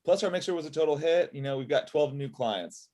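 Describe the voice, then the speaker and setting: A man's voice: a little high, fairly quick, dark tone, lightly acted. A man playing a self-assured matchmaking boss with a casual manner in a comedy table read on a video call. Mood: breezy. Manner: casual, offhand. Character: breezy, self-assured male matchmaking business owner. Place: indoor room at home. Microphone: video call, sitting back from laptop.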